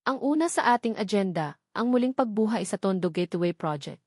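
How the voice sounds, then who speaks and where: A woman voicing a cold, authoritative male chief executive in an audio drama, smooth and formal. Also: high-pitched, expressive, fairly quick, darkish tone, dry recording, studio quality. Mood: businesslike. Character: smooth, cold, authoritative corporate CEO villain.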